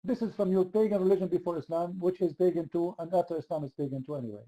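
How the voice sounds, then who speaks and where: Man, debate host, high voice, very dark mellow tone, insistent, repeats himself. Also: quick pace, rough recording. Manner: insistent, repeats the same question. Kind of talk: heated online religious debate.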